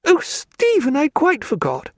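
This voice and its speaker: A male audiobook narrator voicing a lively, teasing young English lady with a gushing manner. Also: normal speed, dry recording, exaggerated voice. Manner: gushing, teasing, high-spirited.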